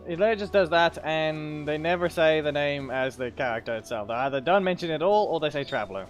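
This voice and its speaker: A man's voice: a little high, fast, lo-fi sound. A man giving casual, offhand gameplay commentary as a streamer, often repeating himself, with game music behind him. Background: faint game music.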